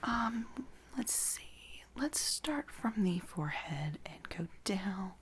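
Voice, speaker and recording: Woman whispering slowly in soft ASMR. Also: mid-range voice, very animated. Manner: gentle, reassuring, unhurried instructions. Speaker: ASMR creator playing a makeup artist. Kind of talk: soft-spoken ASMR roleplay, personal attention.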